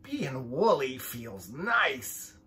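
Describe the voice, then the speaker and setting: A man's voice: medium pitch, very animated, very slow, bright, forceful tone, full character voice. A man voicing a carefree, mischievous young sheep in a children's storybook, breezy and gleeful.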